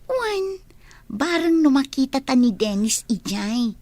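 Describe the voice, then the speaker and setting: A woman's voice: sits high, very animated, slow, a little mellow, dry recording, exaggerated voice. A woman acting an eager, sociable young village friend in a radio drama. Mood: eager, coaxing.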